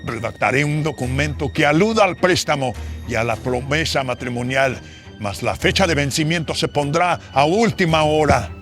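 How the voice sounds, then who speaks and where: Man acting a sly, scheming moneylender, fairly high-pitched. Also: normal speed, fairly bright tone, lightly acted. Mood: gloating. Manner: sly, unctuous, calculating. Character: scheming, lecherous male moneylender, greedy villain. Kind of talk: horror radio drama acting. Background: music.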